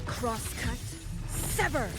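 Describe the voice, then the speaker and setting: A woman's voice: a little high, animated, extremely slow. An actress voicing a solemn, commanding young swordswoman in declamatory video game battle lines. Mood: solemn, resolute. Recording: loud game music over voice.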